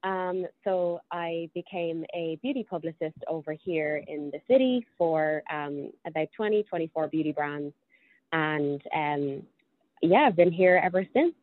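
A woman's voice: low-pitched, measured pace. A young Irish woman in her twenties, a guest in a casual interview, chatting with frequent ums as she thinks aloud. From Ireland. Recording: tinny phone-like line.

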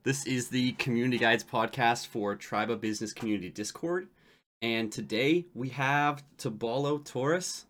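A man's voice: mid-range voice, normal speed, a bit bright, slight background noise. A man hosting a podcast, friendly and enthusiastic, stumbling a bit as he talks. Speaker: podcast host. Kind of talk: casual interview talk.

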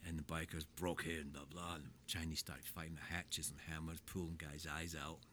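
An older man telling his life story in a casual chat, rambling through animated anecdotes with plenty of swearing. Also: rumbling low, a little fast, slight background noise.

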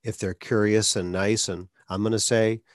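A man with a somewhat low voice hosts the conversation, earnest and encouraging as he gives advice, his speech sometimes catching on stuttered blocks. The recording is dry and close, with no room echo. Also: fairly even intonation, normal speed. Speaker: podcast host, speech clinician. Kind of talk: conversational interview.

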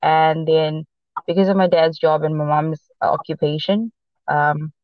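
A woman speaks in a mixed accent shaped by Portuguese, South Africa and America, and Portuguese is her first language. She chats casually on a podcast, halting and restarting with plenty of fillers. The sound is dry and a bit dull, like a lo-fi recording. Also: deep voice, fairly even intonation, no room sound. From mixed upbringing: Boston, Los Angeles and South Africa. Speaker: podcast co-host. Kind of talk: casual sports podcast chat.

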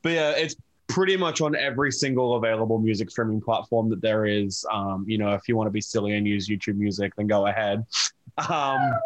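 Aussie guy from a band chatting casually, medium pitch, very dry sound. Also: no echo, poor audio. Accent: Australian. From Australia. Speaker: band member being interviewed. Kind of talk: casual chat.

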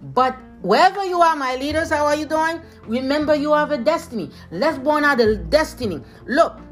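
A woman's voice: high voice, lively intonation, moderate pace, soft background music. A woman with a Haitian accent giving an emphatic motivational talk, repeating phrases and calling her listeners leaders. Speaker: motivational speaker.

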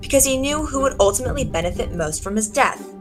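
Sardonic lady detective played by a woman, highish voice, old-time radio whodunit style, grilling suspects over prominent music. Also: lively intonation, quick pace. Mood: commanding. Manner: commanding, interrogating, sardonic. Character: sharp, accusing woman detective cornering suspects. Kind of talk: 1940s radio detective acting. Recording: dramatic music behind the voice.

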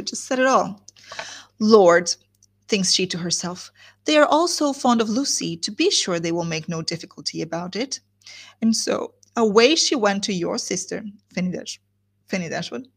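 A woman's voice: mid-range voice, slightly rough. A woman reading aloud as a gossipy, chattering older woman who breathlessly retells news. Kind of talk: reading a classic novel aloud.

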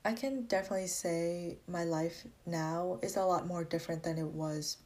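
Young American woman, slightly low voice. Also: fairly even intonation, moderate pace, a little mellow. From grew up in Massachusetts, US, born in Vietnam. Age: young adult. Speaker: college student sharing a personal story. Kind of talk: reflective personal narrative.

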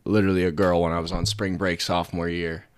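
A man's voice: low-pitched, flat delivery, quick pace, clean tone. A young man in his twenties from Pittsburgh, co-hosting a casual podcast from an attic, joking in a blunt, crude way. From Pittsburgh, Pennsylvania. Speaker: podcast co-host. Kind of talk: casual chat.

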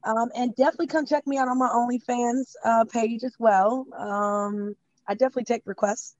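A woman's voice: a little high, fairly even intonation, brisk, a little mellow, dry recording, muffled recording. A woman guest on a podcast, running through her plugs in a breezy, matter-of-fact way. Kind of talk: casual chat.

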